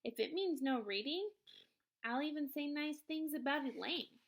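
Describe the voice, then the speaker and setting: A woman narrating a children's novel aloud in an expressive storytelling style. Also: high-pitched, normal speed.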